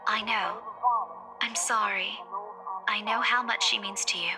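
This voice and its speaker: A woman's voice: medium pitch, fairly even intonation, unhurried, close to an everyday voice. An actress playing a concerned but sceptical young woman in a visual novel game, reasoning and firm. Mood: gentle, concerned. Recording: loud game music behind. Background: music.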